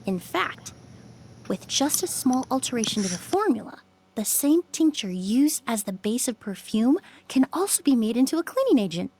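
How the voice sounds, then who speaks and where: A thoughtful young woman of science in a video game. The voice is fairly high, calm and precise, measured in phrasing, with some noise behind it. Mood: calm, explanatory. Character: composed, thoughtful young woman perfumer and forensic cleaner.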